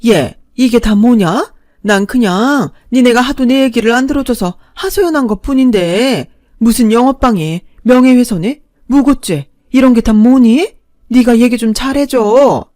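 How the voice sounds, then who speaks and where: A woman voicing a shameless, nagging elderly stepmother-in-law who scolds loudly. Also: on the high side, animated, normal speed, natural voice. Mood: pleading. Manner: nagging, wailing, self-pitying.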